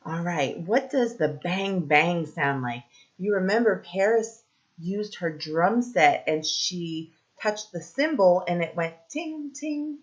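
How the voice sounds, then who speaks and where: A woman teacher leading a read-aloud lesson for young children, asking simple questions, pausing for answers and encouraging them. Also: lowish voice, expressive, lo-fi sound.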